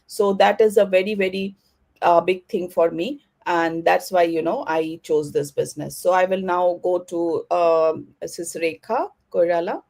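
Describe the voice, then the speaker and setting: Halting woman hosting a video-call panel. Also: fairly low pitch, steady tone, darkish tone. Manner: halting, full of fillers and restarts. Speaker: panel host.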